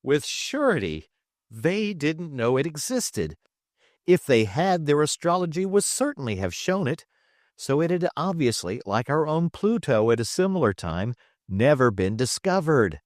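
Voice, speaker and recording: Guy doing a smug triumphant space trader from an audiobook, grandiose n teasing, voice pitched up and animated, dry sound. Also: unhurried, no echo. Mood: gleeful lecturing. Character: jaunty, triumphant male space trader, boastful mentor. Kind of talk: audiobook character dialogue.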